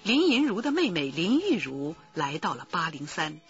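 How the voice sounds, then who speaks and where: A woman's voice: on the low side, big pitch swings, normal speed, muffled recording, faint music behind. A woman narrating a radio drama in a scripted, dramatic style.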